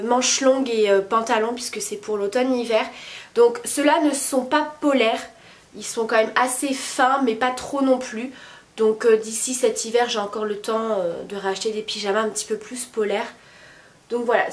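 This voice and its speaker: A woman vlogging from her bed at home, her voice stuffy and congested from a cold. She talks in a casual, meandering way, leaning on lots of little fillers. Her voice sits a bit high for a woman. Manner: chatty, hesitant with many fillers. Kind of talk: casual vlog chat.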